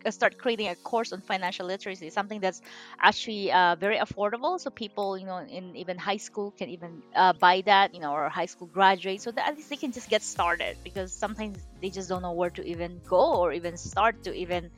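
A woman talks money as a financial coach in a relaxed interview. She sounds animated and chatty, restarts her phrases and often says "you know". Her pitch sits in the middle, with faint music behind. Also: brisk. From Philippines. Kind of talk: casual interview chat.

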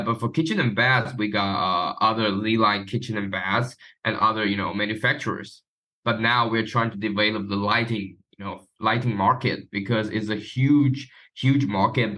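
A man's voice: fairly low pitch, sharp tone. A man from Taiwan, a guest chatting casually in an interview. His phrasing is loose and sprinkled with "you know". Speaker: guest, marketing professional.

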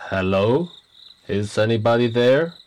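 An actor playing a pompous, smug prime minister in a radio play, lecturing with boastful self-importance. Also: male voice, mid-range voice, lively intonation, unhurried, darkish tone, lightly acted. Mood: leering. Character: pompous arrogant male prime minister, middle-aged. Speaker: politician.

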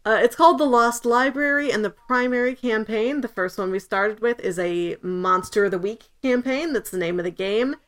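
A woman's voice: on the high side, moderate pace, few pauses, fairly bright tone. A woman co-hosting a podcast in casual chat, talking in a chatty, wry way and correcting herself as she goes. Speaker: podcast co-host.

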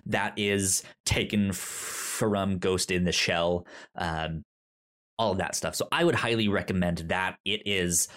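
A man hosting a casual podcast, talking over a remote call. His voice sits somewhat low, and he stammers often, with frequent ums and restarts on his words. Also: fairly bright tone. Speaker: podcast host. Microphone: remote call mic.

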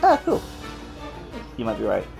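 A man's voice: lowish voice, huge pitch swings, unhurried, vocal fry. A man co-hosting a video game podcast, giving casual commentary. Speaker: podcast co-host. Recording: music playing under the voice. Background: background music.

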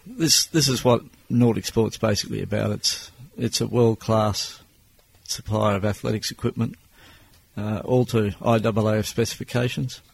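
A man in his sixties with an Australian accent, answering interview questions as a business owner in a plain-spoken, measured way. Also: fairly low pitch, creaky, a little hiss or hum. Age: 60s.

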